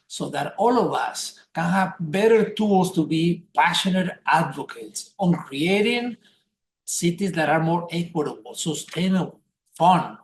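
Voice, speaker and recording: An older man from Colombia, hosting a webinar over a video call and introducing his guest deliberately and emphatically. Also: fairly high pitch. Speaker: webinar host. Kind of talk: scripted introduction. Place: indoors at a home desk, blurred background. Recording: roomy echo.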